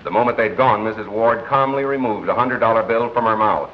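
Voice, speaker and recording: An actor playing a wry, measured male radio crime newscaster in an old-time drama, with dry wit. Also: fairly low pitch, close to an everyday voice. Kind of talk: old-time crime drama acting with broadcast announcer delivery. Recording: dull, noisy old film-era soundtrack.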